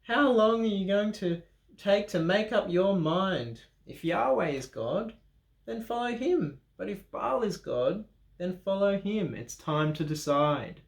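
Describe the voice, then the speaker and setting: A man's voice: sits high, expressive, unhurried, creaky, voice pushed up, exaggerated voice. A man voicing a bold prophet who challenges and teases a crowd. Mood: challenging. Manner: challenging, mocking. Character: bold male prophet, ordinary man, teasing. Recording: roomy echo.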